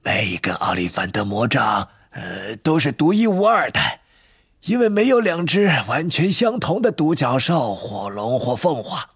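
A man voicing an eccentric old wandmaker in a storybook, with a breathy, husky voice and theatrical, drawn-out delivery. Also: mid-range voice, lively intonation, no room sound, studio quality, voice pushed up. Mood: eager, explaining. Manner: slow, drawn-out, swooping delivery with muttered asides.

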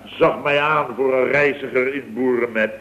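Actor as blustering boss, dull old radio sound. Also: male voice, medium pitch, fairly even intonation, normal speed, a bit bright, a little hiss or hum, natural voice. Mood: exasperated. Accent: Dutch. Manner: exclamatory, anecdotal, full of tag questions. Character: blustering, exasperated male boss of a building firm. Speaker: company director in a radio comedy serial. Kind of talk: late 1960s radio comedy acting.

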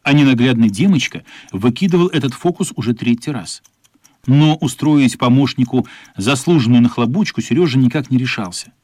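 A man's voice: medium pitch, animated, fairly quick, darkish tone, breathy edge, no echo. A man narrating a radio play as a scripted story.

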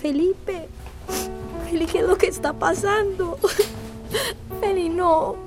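A woman's voice: very high-pitched, steady tone, takes it slowly, over music, exaggerated voice. A woman playing a defiant, emotional young village daughter with a Central American accent in a radio drama. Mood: tearful. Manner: earnest, emotional.

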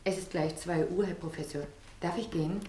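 An actress playing a polite, deferential nurse in a radio play. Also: female voice, fairly low pitch, steady tone, fairly quick, dark tone, natural voice. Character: Schwester Martha, polite nurse. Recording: roomy, distant, with noise.

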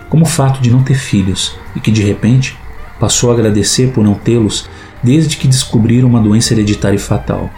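A man narrates for a podcast from a written script. His voice is fairly low and dark-toned, mellow to the ear. Faint noise and ambience sit behind him.

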